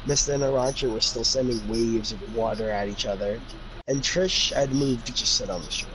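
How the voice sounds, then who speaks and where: A man with a mid-range voice reads amateur fiction and comments on it in loose, slangy chat. He stumbles over words here and there. The homemade recording has clear noise behind him. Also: mid-range voice, normal speed. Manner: informal, youthful slang, stumbling over the text. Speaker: co-host reading fan fiction aloud. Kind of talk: casual chat and amateur story reading with joking commentary. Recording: noisy amateur home recording.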